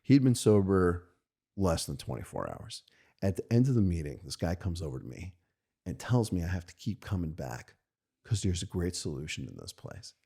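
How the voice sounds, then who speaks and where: An American man, a rabbi, telling stories from his own life in a candid, halting way, often checking in with "right?". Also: low-pitched, big pitch swings, gravelly, dark tone, breathy edge. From United States. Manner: thoughtful and halting, many restarts and "right?" tags. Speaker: podcast guest, rabbi. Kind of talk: candid conversational storytelling.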